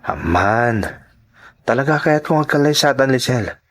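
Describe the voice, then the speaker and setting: A man's voice: medium pitch, expressive, unhurried, close to an everyday voice. A man playing a devoted, sincere young suitor in a radio drama, with an Ilocano accent. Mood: earnest.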